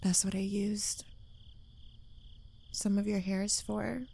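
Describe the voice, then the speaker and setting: A smug, clingy young witch played by a woman in an intimate ASMR roleplay. She drawls slowly in a soft, mellow voice with a creaky edge, teasing and possessive, slightly unhinged. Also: medium pitch, flat delivery, full character voice.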